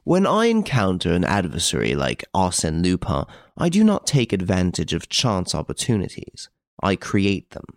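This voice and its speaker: Man playing a haughty, creaky English detective. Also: low-pitched, sing-song, darkish tone, no room sound, studio quality, heavily acted. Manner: haughty, measured, deliberate. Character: proud, haughty, self-possessed English master detective.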